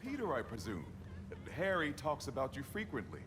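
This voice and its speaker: A genial plant geneticist. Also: male voice, medium pitch, wildly swooping pitch, normal speed, noisy background. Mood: encouraging. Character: middle-aged male plant geneticist, genial and encouraging. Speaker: scientist and mentor. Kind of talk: video game cutscene acting. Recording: game soundtrack music behind.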